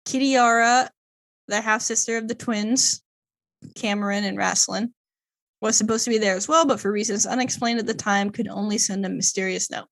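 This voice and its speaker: A woman's voice: mid-range voice, steady tone, normal speed, creaky, a bit bright. A woman co-hosting a casual podcast, stumbling over odd names as she reads a long plot summary, with lots of fillers. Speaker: podcast co-host. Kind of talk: casual chat, reading a plot summary aloud.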